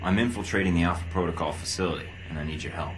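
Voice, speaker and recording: An actor playing a young American secret agent who is cool, dry, understated and determined. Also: male voice, low voice, racing, over music, lightly acted. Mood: guarded.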